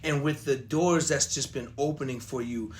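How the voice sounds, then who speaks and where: A man's voice: medium pitch, steady tone, normal speed, background noise. A man hosting a casual interview, effusive and admiring, often repeating words and peppering his talk with "man". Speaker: host interviewing a musician.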